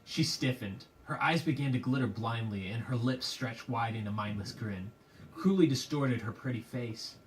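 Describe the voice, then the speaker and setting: A man narrating a puppet show as dramatic storytelling, in a measured, theatrical, literary manner. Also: mid-range voice. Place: live puppet show performance, room. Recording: distant microphone with faint room noise.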